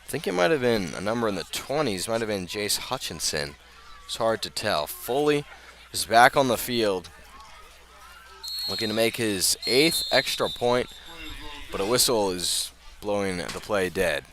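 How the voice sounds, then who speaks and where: Low-voiced American football play-by-play man. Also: big pitch swings, a little slow, fairly bright tone, slight background noise. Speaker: play-by-play announcer. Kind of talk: live American football radio commentary. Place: outdoors at a high school football game.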